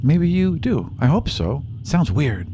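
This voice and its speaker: A man playing a hesitant, gentle young god visiting from the future in a tabletop roleplay, speaking in halting, apologetic phrases. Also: fairly high pitch, sing-song, slight background noise, heavily acted. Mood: hesitant, hopeful. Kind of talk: improvised in-character tabletop roleplay. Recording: music playing under the voice.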